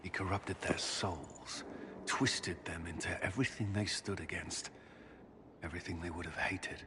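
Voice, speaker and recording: An actor playing a solemn, devout military commander in a video game, brooding and righteous, with grave, restrained intensity. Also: male voice, sits low, steady tone, moderate pace, background noise, natural voice. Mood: bitter, righteous. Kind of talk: dramatic video game dialogue.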